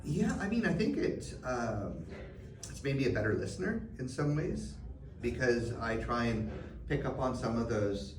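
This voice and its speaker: A middle-aged man, answering interview questions thoughtfully, often pausing with fillers and restarts. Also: medium pitch, big pitch swings. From Canada. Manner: reflective, many fillers and restarts. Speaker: guest. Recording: roomy, distant mic, background noise.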